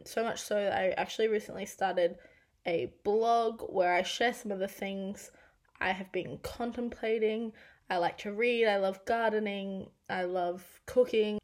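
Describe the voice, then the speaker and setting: Raspy young Australian woman podcasting. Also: medium pitch. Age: 20s. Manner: chatty and self-deprecating. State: croaky, half-lost voice. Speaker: podcast host. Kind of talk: casual solo podcast monologue.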